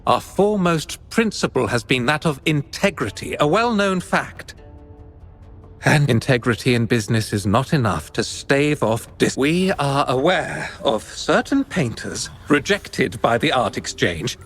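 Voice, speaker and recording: A man's voice: mid-range voice, big pitch swings, measured pace, a bit bright, exaggerated voice. An actor playing a pompous male head of an art exchange, speaking in a formal, indignant, declamatory way. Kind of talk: video game voice acting. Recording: game audio with faint background music. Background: faint music.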